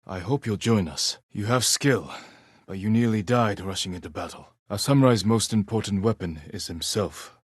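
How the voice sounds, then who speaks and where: An actor playing an honourable, stoic samurai warrior in a video game, speaking in a measured, solemn way with short, clipped sentences. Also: male voice, sits low, slightly rough, no room sound, studio quality. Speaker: video game lead character. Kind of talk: dramatic video game voice acting.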